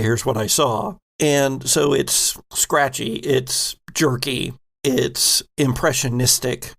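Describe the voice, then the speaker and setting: A man running a tabletop game as game master, narrating the scene slowly and with care. His voice has a gravelly edge. He paces each description deliberately. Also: medium pitch.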